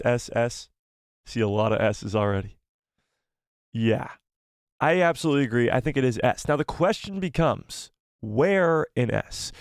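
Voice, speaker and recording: A man's voice: medium pitch, a little mellow, no echo. Guy hosting a live stream, losing his voice, dry close mic, super opinionated. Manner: opinionated, ranking and reading chat replies aloud. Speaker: host of a live fan show.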